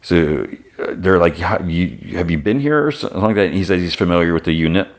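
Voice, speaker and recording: Very low-voiced man recapping an episode. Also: lively intonation, fast talker. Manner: retells scenes, briefly voicing characters in quotes; loose, with restarts and "he's like" quotes. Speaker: podcast host.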